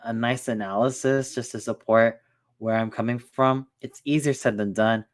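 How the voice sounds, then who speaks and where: A man's voice: mid-range voice, steady tone, moderate pace. Rambling young man chatting on stream. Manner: rambling, filler-heavy, restarts often. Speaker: streamer host. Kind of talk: casual chat.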